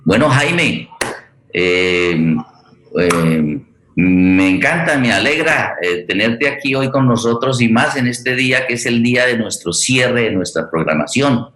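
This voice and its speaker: A man hosting a forum, speaking formally but haltingly, repeating words as he searches for phrasing. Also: mid-range voice, expressive, fairly bright tone. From Colombia. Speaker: host introducing a guest at a theatre forum. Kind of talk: spoken introduction, formal and improvised. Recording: echoey room sound.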